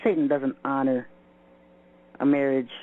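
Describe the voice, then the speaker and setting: A man's voice: mid-range voice, fairly even intonation, a little slow. A man giving an informal spoken exposé, emphatic, often listing things in short phrases. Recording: dull lo-fi audio with hum.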